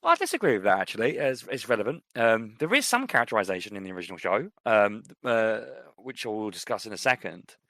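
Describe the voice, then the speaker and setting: A man's voice: lowish voice, sing-song, fast, few pauses, pushed and bright, no room sound. A man co-hosting a podcast in casual chat, opinionated, with many restarts and fillers. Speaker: podcast co-host.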